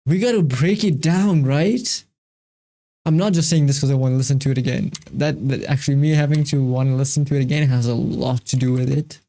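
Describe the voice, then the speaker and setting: A man's voice: mid-range voice, brisk, mellow. A man hosting a reaction video, talking casually and emphatically, repeating himself and swearing freely.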